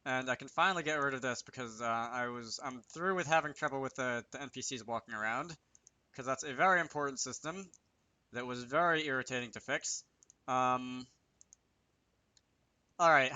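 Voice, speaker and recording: A man's voice: medium pitch, sharp tone, no room sound, lo-fi sound. A man giving casual, unscripted commentary over a screen recording, hesitant and thinking aloud as he works. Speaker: game developer hosting a tutorial series.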